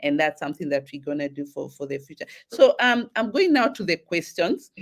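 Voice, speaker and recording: A woman's voice: deep voice, very animated, a little fast. A woman hosting an online talk show, encouraging her audience and often restarting her sentences.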